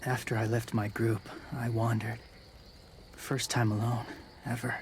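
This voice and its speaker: Weary young male wanderer played by a man for game drama: gentle, guarded, clipped lines, very flat delivery, faint outdoor noise. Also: medium pitch, a little slow, close to an everyday voice. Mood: weary, subdued. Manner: terse, clipped fragments, subdued. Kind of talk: restrained video game drama acting.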